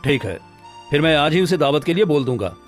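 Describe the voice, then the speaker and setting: A man's voice: on the high side, sing-song, a little fast, faint music behind. A man playing a good-natured married village woodcutter in a cartoon story, earnest and homely in manner. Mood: agreeable. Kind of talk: Hindi cartoon story dialogue.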